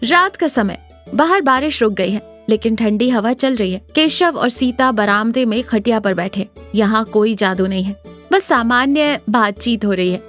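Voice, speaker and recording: Woman narrator for an animated moral story, high voice, scripted read over a light music bed. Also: moderate pace.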